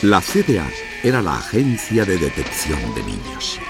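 A man's voice: sits low, wildly swooping pitch, moderate pace, creaky, over loud music. A man narrating a scripted children's story with dramatic storytelling delivery.

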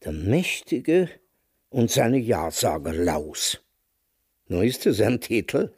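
Radio drama role: cantankerous old aspiring author, husky voice, swooping intonation, sardonic and emphatic. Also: male voice, fairly low pitch, sing-song, takes it slowly, a little mellow, full character voice. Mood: proud, declaiming. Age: older.

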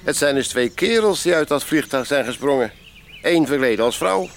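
A man's voice: mid-range voice, expressive, normal speed, vocal fry, slight background noise. A man acting in a Dutch radio play as a jovial, chatty police sergeant full of approval. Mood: amused, impressed.

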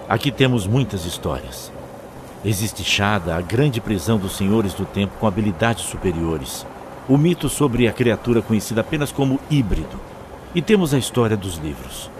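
A man's voice: lowish voice, lightly acted. A man with a Brazilian accent playing a learned alien Time Lord who explains ancient lore like a storyteller in an audio drama. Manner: expository, storyteller-like. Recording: faint background noise.

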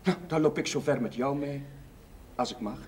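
An actor playing a kindly, slightly flustered village chaplain in a television drama, gentle and reassuring. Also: male voice, medium pitch, moderate pace, lightly acted. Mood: friendly. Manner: gentle, reassuring, a little hesitant. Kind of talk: naturalistic television drama acting. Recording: faintly noisy old TV soundtrack.

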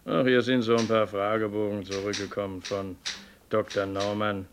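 An actor playing a hesitant, dutiful junior office clerk in a German radio play. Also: male voice, fairly low pitch, flat delivery, normal speed, noisy background, natural voice. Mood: puzzled.

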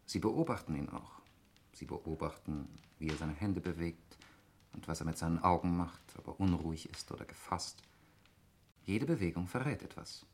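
An actor as a sardonic, probing man with a deep-set voice. He speaks deliberately and with insinuation, as in 1960s radio acting. A light hiss of noise sits on the recording. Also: a little mellow, close to an everyday voice. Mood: cool, insinuating.

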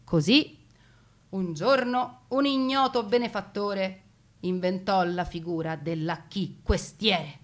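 A woman narrates a scripted story in a theatrical way. Her delivery is deliberate and emphatic, with a mock-solemn gravity suited to stage storytelling. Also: mid-range voice.

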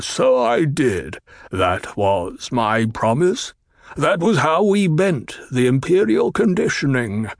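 A man voicing a gloating, menacing male villain baron in a slow, sneering drawl. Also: mid-range voice, no room sound, clean studio sound, heavily acted. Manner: slow, drawn-out, sneering delivery. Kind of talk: audiobook character voice.

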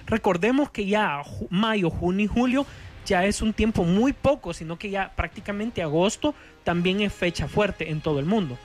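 A man's voice: high voice, normal speed. A man with a Honduran accent hosting a film radio show in a casual, chatty way, often repeating words as he goes. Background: background music.

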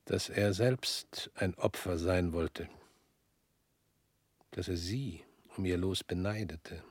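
A weary, husky former prosecutor. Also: male voice, low voice, no room sound, exaggerated voice. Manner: slow, measured, drops to near whispers. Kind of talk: radio drama acting.

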